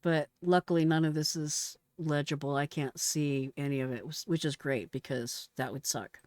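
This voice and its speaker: Middle-aged american lady reacting casually, slangy and blunt, lowish voice, dry close sound. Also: fairly even intonation, moderate pace, a little mellow, dry recording. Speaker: retired emergency dispatcher reacting to a call recording.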